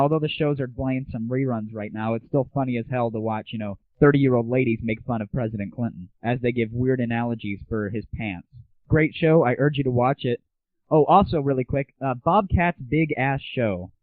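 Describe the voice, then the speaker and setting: An American man hosting a casual, opinionated audio show in an irreverent, slangy way. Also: no room sound. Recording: muffled, tinny low-fidelity 1990s internet audio.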